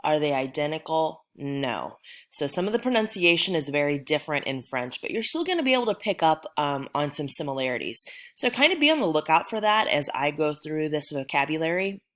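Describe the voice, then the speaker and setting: Low-voiced woman teacher, patient and reassuring. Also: sing-song, a little fast, a bit bright. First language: English. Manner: reassuring, patient, many ums. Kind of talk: recorded classroom lesson.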